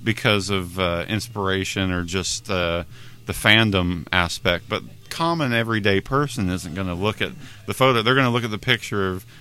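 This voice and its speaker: A man hosting a casual interview, chatty and quick to laugh, often filling with "like". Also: sits low, sharp tone, smooth. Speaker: interviewer host.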